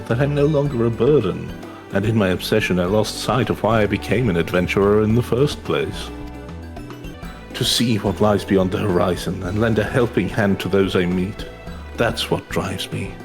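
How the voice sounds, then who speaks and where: A polite young male adventurer, played by a man, speaks in a lowish voice with formal, careful sincerity. He comes across as determined yet shy, a fantasy game hero over soft music. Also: moderate pace, gravelly. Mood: earnest, nervous. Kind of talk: fantasy game character read-aloud.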